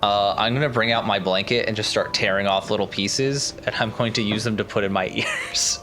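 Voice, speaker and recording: A man's voice: fairly low pitch, fairly even intonation, brisk, music in the background. A man chatting casually as a player in a tabletop role-playing game, partly in character.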